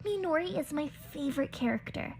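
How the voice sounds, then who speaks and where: A woman's voice: high-pitched, expressive, brisk, vocal fry, soft background music. A woman voicing a feisty, defensive teenage schoolgirl in an anime visual novel fan dub, huffy, flustered and bossy. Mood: excited, giggly.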